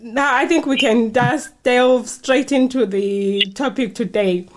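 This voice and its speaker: A woman from Zimbabwe, hosting a podcast and reading her introduction in a clear, measured way. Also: on the high side, a loud crowd. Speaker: podcast host. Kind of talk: scripted podcast intro, then interviewing.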